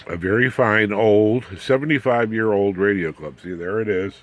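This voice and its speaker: A man in his seventies with an American accent and a low-pitched voice talks off the cuff as a hobbyist showing his work in a home workshop. He rambles in a folksy, unscripted way. He often turns aside to the viewer with self-deprecating humour. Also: normal speed. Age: 70s. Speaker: ham radio hobbyist and video host. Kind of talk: casual unscripted workshop demonstration.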